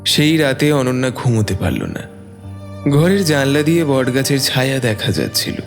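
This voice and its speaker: A man tells a scripted, dramatic story with animated intonation. His mid-pitched voice carries a long reverb, with music playing behind it. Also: normal speed. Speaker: storyteller, narrator.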